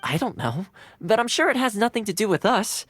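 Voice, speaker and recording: A man voicing an easygoing, cheerful young male retainer in a video game, breezy and playfully expressive. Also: sits high, a little fast, dry recording, heavily acted. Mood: reassuring. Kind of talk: animated video game voice acting. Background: background music.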